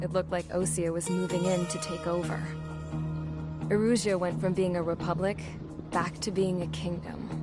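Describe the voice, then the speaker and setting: A woman's voice: mid-range voice, close to an everyday voice. An actress playing a jaded young pilot telling her war story in blunt, wry, slangy game narration. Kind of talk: scripted first-person game narration. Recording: loud music under the voice.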